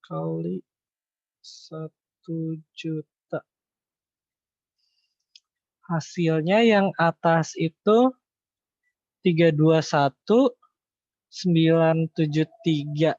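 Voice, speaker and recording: A man with a slightly high voice tutors informally, working through calculations out loud. His delivery is halting, with figures mumbled as he checks with his listeners. The sound is roomy and a bit dull. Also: vocal fry. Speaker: accounting tutor. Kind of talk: informal online lesson, thinking aloud through calculations. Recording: echoey room, dull lo-fi online-call audio.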